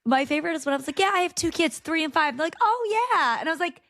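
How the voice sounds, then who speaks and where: A woman in her thirties, a guest in a casual interview, telling stories in an animated way and acting out quotes. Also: very high voice, fast talker, dry recording, studio quality. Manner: animated storytelling with frequent laughter and acted-out quotes.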